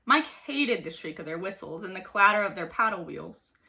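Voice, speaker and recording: A woman reading a children's story aloud like a teacher, with expressive, dramatic emphasis. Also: medium pitch, normal speed, vocal fry. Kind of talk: animated storybook narration for children.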